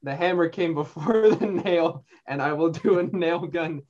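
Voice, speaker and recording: Male player, slow and animated, muffled lo-fi mic with faint noise. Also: fairly high pitch. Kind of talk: playing a tabletop game.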